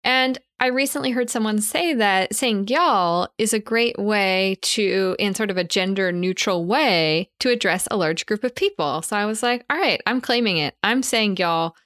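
A woman's voice: medium pitch, normal speed, few pauses, a bit bright, no room sound, studio quality. A young Canadian woman hosting her podcast, chatting casually and enthusiastically with frequent restarts. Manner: enthusiastic, gushing, many restarts. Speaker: podcast host.

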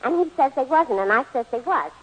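High-voiced, naive gambler's girlfriend. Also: animated, fairly quick, fairly bright tone, husky, heavily acted. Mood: guileless, rueful. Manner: guileless, slangy, ungrammatical. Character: naive, good-natured, down-on-her-luck gambler's sweetheart. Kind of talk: 1940s radio comedy acting. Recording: slightly noisy 1940s radio broadcast.

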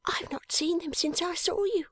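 A woman voicing a small young dressmaker girl in a much higher, bright, eager voice, often half-whispered. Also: normal speed, no room sound, clean studio sound. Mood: tender, eager. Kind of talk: audiobook character voice.

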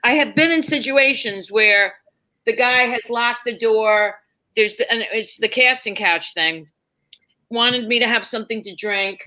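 A woman with a New York accent, telling lively stories as a podcast guest and acting out the people in them. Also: on the high side, a little slow, low-quality recording. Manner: animated, acts out voices in her stories. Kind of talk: casual storytelling chat.

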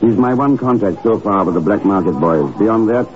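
A man playing a calm, shrewd secret agent in a 1950s radio drama, speaking in a clipped, questioning manner. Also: fairly low pitch, moderate pace, a crowd in the background, natural voice. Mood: cool, probing. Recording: muffled old radio recording, music.

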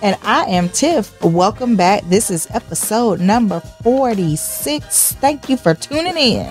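A woman co-hosts a laid-back podcast with a medium-pitched voice. She teases her co-host and laughs easily. Faint music plays under the talk. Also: lively intonation. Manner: teasing, quick to laugh. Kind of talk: casual chat.

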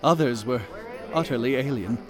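An actor playing a haunted man who narrates his own horror in slow, ornate, dread-laden words. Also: mid-range voice, crowd noise, natural voice.

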